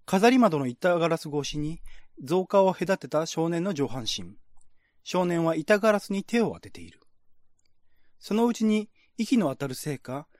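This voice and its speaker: Male narrator, scripted radio-drama reading, higher voice, very expressive pitch, dry close sound. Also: normal speed, no room sound.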